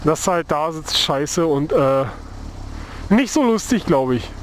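A man's voice: a little high, expressive, takes it slowly. A young man chatting casually and rambling as he rides a motorcycle through the city, speaking into a helmet mic with the visor open. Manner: rambling, laid-back, heavy with fillers. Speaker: motovlogger. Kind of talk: casual chat while riding. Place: on a motorcycle in city traffic, outdoors. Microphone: helmet-mounted mic, open visor. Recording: wind and traffic noise.

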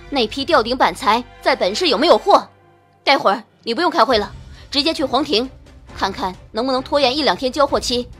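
A woman acting an imperious, high-pitched female boss. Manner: sharp, commanding, scolding. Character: furious young female company boss, imperious and demanding. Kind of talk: dramatic audiobook character acting.

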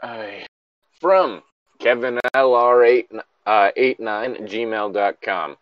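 A man's voice: lowish voice, slow, fairly bright tone, dry recording, highs cut off. A man co-hosting a casual read-aloud of a story, with blunt, exasperated, profane commentary. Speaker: co-host reading a story aloud with running commentary.

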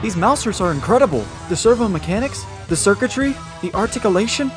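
A man voicing a fast, nerdy turtle teen. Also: sits high, animated, loud music behind the voice. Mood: excited, focused. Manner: eager, technical. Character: nerdy, fascinated teenage male mutant turtle. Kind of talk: cartoon action acting.

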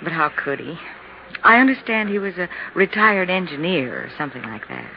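A woman's voice: fairly low pitch, big pitch swings, moderate pace. Gossipy landlady on noisy old radio. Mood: gossipy, frank. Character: sad, gossipy woman running an apartment house. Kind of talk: 1950s radio drama acting. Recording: noisy, muffled 1950s radio recording.

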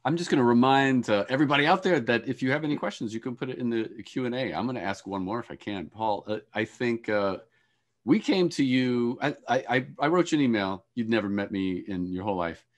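A middle-aged man hosting a panel on a video call in a conversational, halting way with repeated starts. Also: medium pitch, expressive. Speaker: panel host, moderator. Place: room with shelves of boxes. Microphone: video call, earphones.

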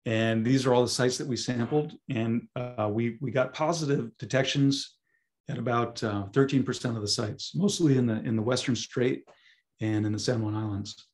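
Hoarse male scientist presenting over a video call; halting, lots of ums, mid pitch. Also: a little mellow. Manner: halting, many ums and restarts. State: hoarse, losing his voice. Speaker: guest speaker, scientist. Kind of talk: webinar presentation.

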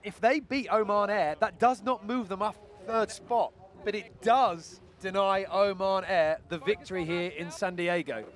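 A man giving live play-by-play commentary on a sailing race, brisk and analytical. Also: high voice, steady tone.

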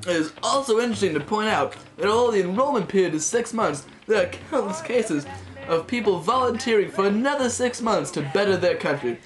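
A man's voice: high-pitched, expressive, brisk. A teenage man playing a jovial, flippant 1930s radio co-host in a chatty, hammy style. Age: teens. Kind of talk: mock 1930s radio program, scripted. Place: small wood-panelled room indoors. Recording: music playing over the voice.

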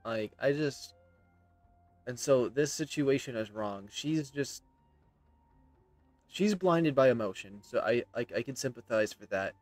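A man's voice: mid-range voice, lively intonation, a little slow, pauses often, no room sound. Opinionated man commentating, close dry sound. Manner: opinionated, emphatic. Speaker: gamer giving commentary. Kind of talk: casual video game commentary. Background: faint game music.

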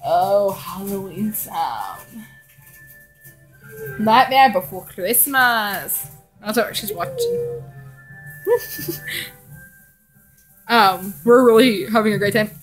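A woman's voice: medium pitch, sing-song, drawn-out words, pauses often, soft background music, low-quality recording. A young woman vlogger chatting casually and reading fan fiction in a rambling, giggly way. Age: young adult. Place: living room indoors.

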